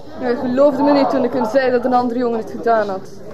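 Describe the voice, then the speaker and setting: A woman's voice: fairly high pitch, fairly even intonation, fairly quick, muffled recording, a crowd in the background, close to an everyday voice. An actress playing a stubborn, blunt teenage runaway girl with a Flemish accent, testifying in a radio drama. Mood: sullen, defiant.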